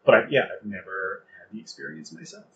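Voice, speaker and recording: A man's voice: lowish voice, animated, quick pace, a bit bright. A man in his fifties in casual, reflective podcast discussion, often chuckling as he talks. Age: 50s. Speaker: podcast co-host. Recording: dull lo-fi audio, clearly noisy.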